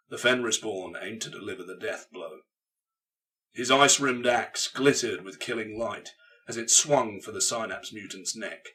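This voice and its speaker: A man narrates grimdark science fiction with dramatic intensity. His voice is fairly low, with a bright, hard-edged force, and sounds somewhat distant from the microphone. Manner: intense, theatrical delivery. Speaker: audiobook narrator.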